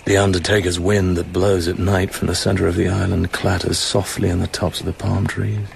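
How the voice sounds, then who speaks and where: An actor playing a cool, suave British secret agent in a radio drama, understated and brooding. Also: male voice, deep voice, little pitch movement, fairly quick, creaky, a little hiss or hum.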